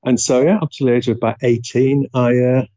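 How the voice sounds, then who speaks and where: A man's voice: medium pitch, steady tone, a little fast, warm tone, no echo, highs cut off. A man with a Yorkshire accent, a guest on an interview show, talking about his life in a reflective way with many hesitations. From England, Leeds. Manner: reflective, frequent filler hesitations. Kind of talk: casual interview talk.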